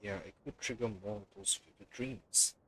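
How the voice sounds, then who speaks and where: A man's voice: on the low side, fairly even intonation, moderate pace, sharp tone, slight background noise. A man doing let's play commentary on a livestream and reading game dialogue aloud. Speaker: game streamer.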